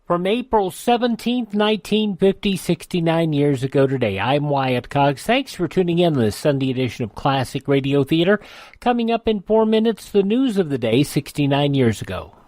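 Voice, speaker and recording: A man hosting a radio show in a folksy, conversational style with emphatic pauses. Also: a little high, lively intonation, darkish tone, no room sound. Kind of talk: folksy radio host ad read. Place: dry studio.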